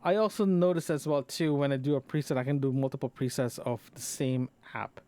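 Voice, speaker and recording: A man recording a casual, unscripted solo audio log, talking in a rambling, halting way and often rephrasing himself. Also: medium pitch, steady tone, a little mellow, clear, resonant tone. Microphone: close microphone into a recorder, compressed.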